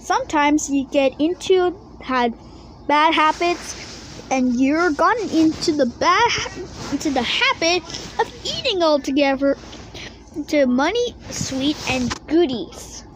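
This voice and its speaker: A firm mother bear from a children's storybook, voiced by a woman reader, speaks in a high voice. She scolds slowly and means what she says. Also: lively intonation, slight background noise, poor audio. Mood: scolding. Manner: firm, admonishing.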